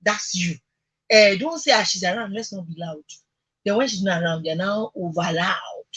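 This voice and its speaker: A woman's voice: medium pitch, normal speed, fairly bright tone, breathy edge. A Nigerian woman hosting a conversational radio discussion, speaking emphatically and repeating words for stress. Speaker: radio talk show host.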